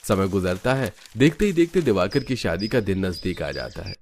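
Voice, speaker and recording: A man's voice: sits low, sing-song, moderate pace, creaky, darkish tone, slight background noise. A man reading scripted narration for a family drama cartoon story.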